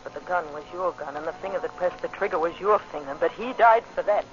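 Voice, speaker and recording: An actress playing a grieving, vengeful young widow in a 1940s radio drama, speaking with slow, deliberate intensity. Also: female voice, lowish voice, close to an everyday voice. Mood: menacing. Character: grieving, vengeful young widow confronting her husband's betrayer. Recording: muffled old radio recording with music.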